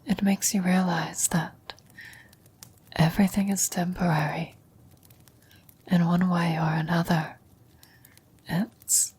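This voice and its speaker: A woman playing a frail, dying old woman in a whispered ASMR roleplay, gentle, wistful and philosophical, speaking slowly and haltingly. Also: lowish voice, exaggerated voice. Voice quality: breathy whisper. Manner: slow, halting, reflective delivery with long pauses.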